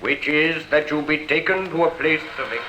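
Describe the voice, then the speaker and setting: Stern high-voiced echoing judge. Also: male voice, normal speed, sharp tone. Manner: solemn, ringing, formal. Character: stern judge pronouncing a death sentence. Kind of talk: 1950s radio crime drama acting. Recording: echoey, very noisy old radio recording.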